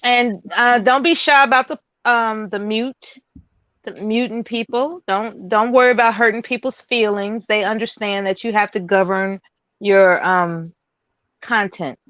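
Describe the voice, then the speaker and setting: A woman hosts a casual online panel and hands out frank, blunt advice, laughing easily along the way. Her voice sits at a middle pitch. It comes over a dull, lo-fi call line with a dry, close sound. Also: steady tone, a little slow, a bit bright, no room sound. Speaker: panel host.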